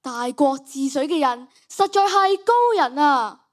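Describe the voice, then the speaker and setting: A woman's voice: high voice, expressive, measured pace. A girl with a Hong Kong Cantonese accent playing a solemn, reproving male philosopher in a radio drama. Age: child, primary school student. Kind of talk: school radio drama acting.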